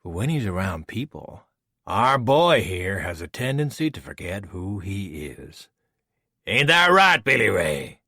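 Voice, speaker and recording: A man's voice: sits low, big pitch swings, a little slow, bright, forceful tone, breathy edge, dry recording, clean studio sound, heavily acted. A man voicing a smug, scheming male villain who taunts and mocks. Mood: taunting. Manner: taunting, mocking.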